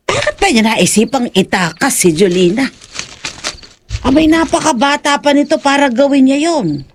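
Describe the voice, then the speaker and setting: A fretful, caring village woman, acted by a woman in an audio drama, exclaiming easily. Her mid-pitched voice swings widely, with faint noise behind it. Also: a little mellow. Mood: worried.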